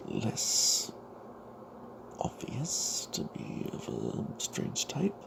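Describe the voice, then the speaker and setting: A man telling a fantasy tabletop tale in a breathy ASMR whisper, halting with long pauses. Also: medium pitch, noisy background.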